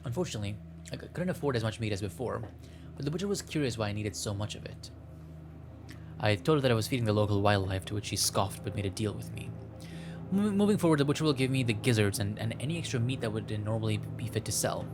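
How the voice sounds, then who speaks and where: A man's voice: fairly low pitch, animated, fast, music in the background. A man playing a visual novel, reading the text aloud and chatting casually in a playful, rambling way, sometimes stumbling over the words. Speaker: gaming streamer.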